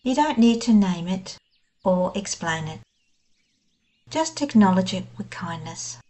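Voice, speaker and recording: A woman guiding a scripted meditation in a gentle, soothing, unhurried manner. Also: mid-range voice, animated. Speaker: meditation guide. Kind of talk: scripted guided meditation narration.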